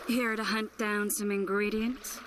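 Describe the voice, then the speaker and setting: A poised young sorceress, played by a woman, with a middle-pitched, wry delivery. It is game cutscene dialogue with water clearly heard behind. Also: steady tone, unhurried, noisy background, close to an everyday voice. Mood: dry, teasing. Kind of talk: video game cutscene acting.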